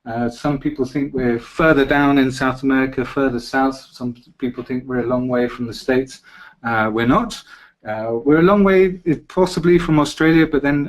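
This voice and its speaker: A middle-aged British man from Britain hosting a travel webinar from an office, friendly and chatty, with frequent "uh" fillers. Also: medium pitch. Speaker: webinar host. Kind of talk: live travel webinar presentation. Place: office in Quito.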